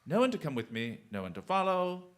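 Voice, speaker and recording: An actor playing a witty, self-assured gentleman detective in a radio drama, dry, wry and commanding. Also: on the high side, big pitch swings, fast talker. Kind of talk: theatrical radio drama acting.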